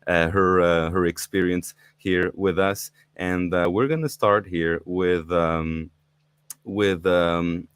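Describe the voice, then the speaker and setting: A man's voice: sits low, level tone, slow pace, clear, resonant tone, no echo. A middle-aged man from Portugal hosting a live online talk, eager and inviting, with frequent fillers and restarts. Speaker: livestream host. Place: indoors in Portugal.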